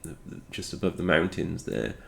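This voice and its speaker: Male tech explainer, very creaky low voice, a little stuffed up, talks through his reasoning as he goes. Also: expressive, moderate pace. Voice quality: slightly congested. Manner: thinking aloud, musing. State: congested, recovering from a cold. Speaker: host of a retro game programming video. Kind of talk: unscripted technical screen walkthrough.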